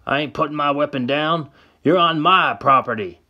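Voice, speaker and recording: A man voicing a hostile old frontiersman with a gun, yelling in a shrill folksy drawl. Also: moderate pace, highs cut off, lightly acted. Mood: suspicious. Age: older.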